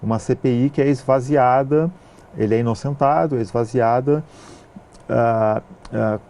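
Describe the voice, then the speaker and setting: A man from southern Brazil with a gaúcho accent, a film producer answering interview questions in a measured way, with frequent hesitation sounds. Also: medium pitch, creaky, mellow. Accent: gaúcho Brazilian Portuguese. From southern Brazil (Rio Grande do Sul).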